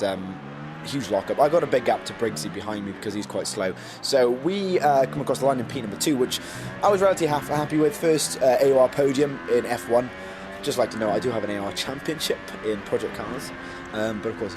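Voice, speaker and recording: A man losing his voice, casually and self-mockingly commentating his own racing game footage with many ums. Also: medium pitch. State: losing his voice, getting ill. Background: background music.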